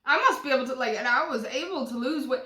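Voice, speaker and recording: A woman's voice: high-pitched, lively intonation, quick pace, pushed and bright, reverberant. A woman in her early twenties chatting casually at home in her living room, rambling and laughing often. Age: 20s. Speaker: vlogger. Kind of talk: casual chat.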